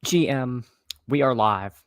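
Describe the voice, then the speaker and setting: A man with a slightly froggy, hoarse voice chatting casually as a fantasy football livestream host, haltingly repeating phrases as he thinks aloud. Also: mid-range voice, no echo, studio quality. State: slightly hoarse, froggy after losing his voice at a game. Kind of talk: casual livestream chat and sports commentary.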